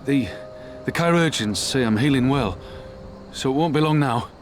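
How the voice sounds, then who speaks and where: An actor playing a humble, plain-spoken soldier of a fantasy resistance, speaking earnestly in a dramatic game scene. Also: male voice, mid-range voice, moderate pace, a little hiss or hum, natural voice. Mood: deferential, earnest.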